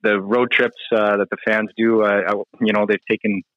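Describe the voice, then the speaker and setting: Male hockey player, hesitant conversational answers, slightly low pitch, muffled lo-fi recording. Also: level tone, no echo. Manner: hesitant, halting, frequent you-knows. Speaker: professional ice hockey player being interviewed. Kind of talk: casual interview answers.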